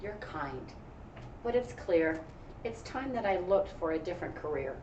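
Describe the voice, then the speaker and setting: Woman reading as gentle storybook cow, roomy sound. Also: lowish voice, animated, moderate pace, muffled recording, natural voice. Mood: resigned, kind. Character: gentle, modest, grateful female ballet-dancing cow in storybook. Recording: echoey room, distant mic, faint noise.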